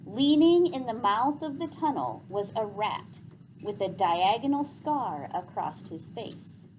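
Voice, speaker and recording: A woman reads aloud as a teacher, with very lively intonation. Her pace is a little slow and her phrasing explanatory, over faint room noise. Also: fairly high pitch, rough recording. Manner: clear explanatory phrasing, repeats pupils' answers. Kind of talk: expressive read-aloud with class questions. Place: classroom. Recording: faint classroom background noise.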